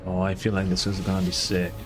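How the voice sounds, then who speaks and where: A man's voice: low voice, monotone, quick pace. A man streaming a video game with casual, informal, sweary commentary, game music playing behind him.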